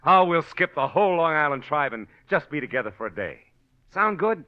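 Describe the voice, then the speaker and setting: An actor playing a smooth-talking, scheming bigamist husband in old-time radio drama, hurried, evasive and impatient. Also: male voice, a little high, very animated. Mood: eager, persuasive. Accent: American. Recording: muffled vintage radio recording.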